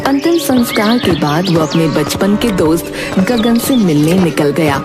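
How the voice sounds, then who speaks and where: A woman's voice: fairly low pitch, lively intonation, unhurried. A woman narrating a scripted horror story. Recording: loud background music.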